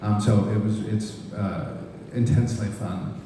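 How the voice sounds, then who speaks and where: Hesitant low-voiced man, echoey hall. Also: steady tone, measured pace, mellow, distant mic, slight background noise. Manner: hesitant, full of ums and restarts. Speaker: actor at a press conference. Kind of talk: panel answers at a press event.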